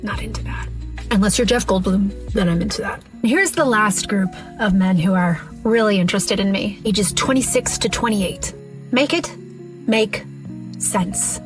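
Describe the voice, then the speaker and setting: A woman's voice: mid-range voice, unhurried, faint music behind. A woman in her early forties talking casually and confessionally, with a wry, exasperated, emphatic manner. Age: early 40s. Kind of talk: casual confessional monologue to camera.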